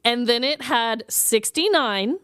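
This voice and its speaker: A woman's voice: fairly high pitch, slow, clear, resonant tone, no room sound, studio quality. An American woman co-hosting a podcast, telling stories in a casual, comedic, emphatic and informal way. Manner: animated, informal storytelling with emphatic exaggeration. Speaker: podcast co-host. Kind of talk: casual comedic true-crime chat.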